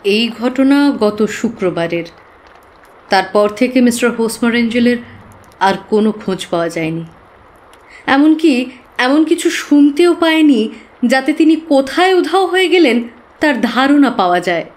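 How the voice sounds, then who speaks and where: A woman's voice: a little high, lively intonation, measured pace, long pauses. An actress playing an earnest, trusting young woman client who carefully recounts her troubles in an audio drama. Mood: distressed, loyal.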